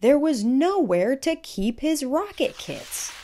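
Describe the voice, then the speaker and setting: A middle-aged woman reading a picture book aloud for children, with deliberate, teacherly phrasing and pauses. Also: sits high, sing-song, mellow. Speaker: children's read-aloud host. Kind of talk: storybook read-aloud narration for children.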